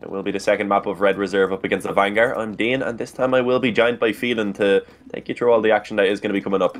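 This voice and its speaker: An esports caster opens the broadcast in an upbeat, polished style. He is a man with a low voice who talks quickly and fluently. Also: fairly even intonation. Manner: upbeat, smooth broadcast delivery. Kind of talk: match commentary intro.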